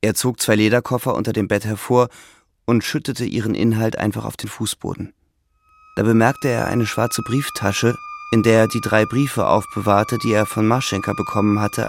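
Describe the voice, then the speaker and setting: A man narrating a literary radio drama in a measured, reflective way. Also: on the low side, creaky, a little hiss or hum.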